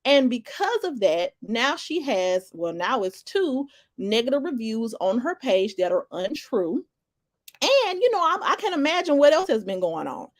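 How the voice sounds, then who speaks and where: A woman talks to her live viewers with a slightly high voice. She rambles emphatically and restarts her sentences often. The sound is dry and close, with no room echo. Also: very animated, measured pace. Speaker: livestream commentator. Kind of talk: casual live commentary, greeting viewers in chat.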